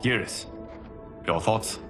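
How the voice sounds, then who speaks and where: A man's voice: takes it slowly, over music, natural voice. A man playing a wise, burdened protector god in a video game, stern, formal and authoritative. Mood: grave, resolute. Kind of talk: dramatic video game cutscene acting.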